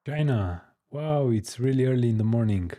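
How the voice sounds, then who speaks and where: A man hosting a live stream, chatting casually with viewers, hesitant with many pauses and fillers. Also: mid-range voice, expressive, warm tone. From Romania. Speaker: live-streaming coding host. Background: faint music.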